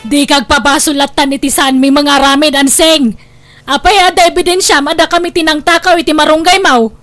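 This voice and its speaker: Village neighbour, woman actor: very high pitch, Ilocano accent, defiant gossip, comedy drama. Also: fairly even intonation, fairly quick, a bit bright, faint music behind. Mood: defensive, indignant. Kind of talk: Ilocano comedy radio-style drama acting.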